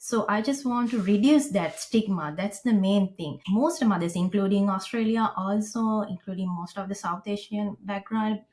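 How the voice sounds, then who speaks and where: A woman's voice: medium pitch, a little mellow, poor audio. Sri lankan lady on a podcast, earnest, lots of uh and restarts. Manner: earnest, halting, many fillers and restarts. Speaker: podcast guest. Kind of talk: personal story in an interview.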